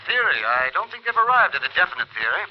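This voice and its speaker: Polite guarded company man, quick up-and-down voice, old radio drama. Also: fairly high pitch, very animated. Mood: puzzled, guarded. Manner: hesitant, noncommittal. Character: polite, guarded American insurance company manager. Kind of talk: 1950s radio drama acting. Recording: dull vintage radio recording.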